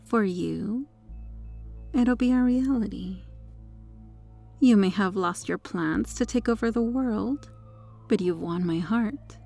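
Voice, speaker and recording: Female actor as possessive superheroine kidnapper for ASMR roleplay: dark, relaxed tone with vocal fry, coaxing, sweetly menacing. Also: medium pitch, slow, long pauses, soft background music. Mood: sweetly controlling. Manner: coy, smug, coaxing, lingering over words. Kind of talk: ASMR roleplay, intimate soft delivery.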